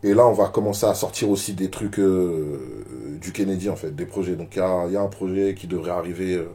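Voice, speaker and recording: A man's voice: deep voice, fairly quick, mellow. A rapper being interviewed, talking casually and often repeating words while he searches for them. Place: media office.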